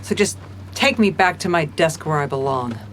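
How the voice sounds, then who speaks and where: A woman's voice: low-pitched, animated, normal speed, fairly bright tone, slight background noise. An actress playing a hard-boiled, weary female police commissioner in a noir audio drama, with a wry, clipped delivery. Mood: bitter, defeated. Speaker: narrator and lead character.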